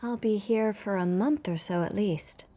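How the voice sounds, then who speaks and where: A woman's voice: mid-range voice, unhurried, mellow, close to an everyday voice. An actress playing a polite but guarded American woman traveller, reserved and understated, in a naturalistic radio drama. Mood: distracted, reserved. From Seattle, United States. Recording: background noise, vehicle and room ambience.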